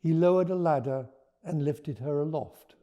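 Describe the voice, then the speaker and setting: A man speaking as a children's storyteller, with earnest, deliberate phrasing. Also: fairly high pitch, mellow, muffled recording. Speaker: author and storyteller. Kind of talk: expressive picture-book read-aloud and informal explanation.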